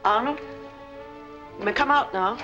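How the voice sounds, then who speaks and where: A woman's voice: on the high side, unhurried, sharp tone, highs cut off, faint music behind. An actress playing a middle-aged, calculating mother in a 1940s crime film, speaking in a curt, commanding way. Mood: stern. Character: cold, calculating scheming mother running a family plot.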